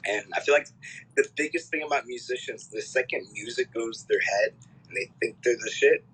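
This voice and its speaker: Rambling young man with a bright voice. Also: medium pitch, brisk, breathy edge, rough recording. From Canada. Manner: rambling, swearing, filler-heavy. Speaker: musician guest. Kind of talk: casual interview chat.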